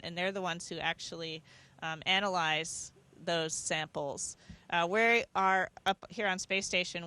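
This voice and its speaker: Bright-voiced woman astronaut in an interview. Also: lowish voice. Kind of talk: thoughtful conversational interview answers. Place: aboard a space station.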